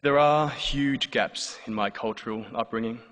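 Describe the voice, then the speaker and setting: A man's voice: medium pitch, normal speed, a bit bright. A man in his thirties with an Australian accent, telling a comic personal story on stage in a dry, wry way. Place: large hall before a live audience. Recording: live hall with long reverb, faint noise.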